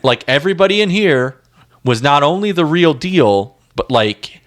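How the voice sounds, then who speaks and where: A man's voice: fairly high pitch, sing-song, moderate pace, a bit bright. Rambling, blunt man co-hosting a podcast. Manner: rambling, blunt, informal slangy speech. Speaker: podcast co-host. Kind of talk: casual music discussion.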